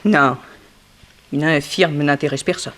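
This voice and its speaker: An actress playing a bitter, sharp-tongued former ballerina in a 1960s French radio detective play, dramatic and insinuating. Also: female voice, low-pitched. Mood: wistful. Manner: dramatic, insinuating, swinging from slow emphasis to outbursts.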